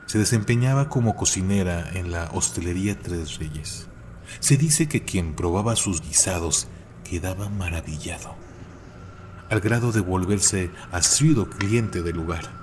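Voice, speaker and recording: Guy with a low voice telling old horror legends, solemn and dramatic, noisy ambience behind him. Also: expressive, measured pace. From Mexico. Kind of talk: scripted storytelling narration.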